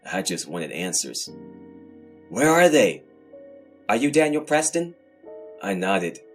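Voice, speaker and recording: A man narrating horror fiction as host, in a scripted, dramatic and brooding manner with deliberate pauses. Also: low-pitched.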